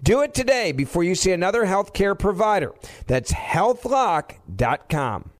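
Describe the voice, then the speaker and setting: Un hombre que lee la narración de un anuncio comercial con guion. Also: male voice, high voice, big pitch swings, a little slow. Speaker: advertisement announcer. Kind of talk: scripted commercial narration.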